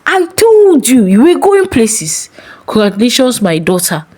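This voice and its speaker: A woman playing a smooth, fatherly older Nigerian pastor in a told story. The pitch swings widely as he coaxes, with a familiarity that is quietly predatory. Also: big pitch swings, unhurried. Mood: fatherly, encouraging. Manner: coaxing, casually over-familiar. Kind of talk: acted dialogue in a narrated folk tale.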